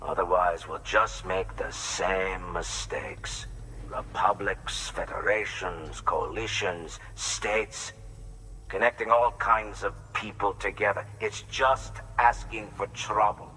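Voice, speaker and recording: A man's voice: deep voice, slow, bright, forceful tone, distant mic, a little hiss or hum, exaggerated voice, rough recording. An actor playing a guarded, reclusive man in a video game, musing in conversational, emphatic speech. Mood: brooding. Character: guarded, reclusive male shelter dweller, grateful but distrustful.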